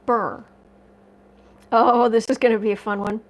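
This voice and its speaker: A woman with a fairly high voice chats to her viewers, reading comments aloud. Her voice is a little raspy from allergies, and she rambles and restarts her sentences in a friendly, casual way. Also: level tone, a little slow, low-quality recording. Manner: rambling, self-correcting, chatty with viewers. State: slightly raspy from dust allergies. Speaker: tarot and astrology livestream host.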